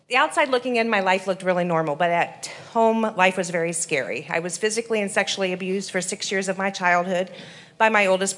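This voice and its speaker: A woman in her forties from Iowa, giving an earnest church testimony with frequent pauses and ums. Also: mid-range voice, fairly bright tone. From Iowa, USA. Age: 40s. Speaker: rehab program resident giving testimony.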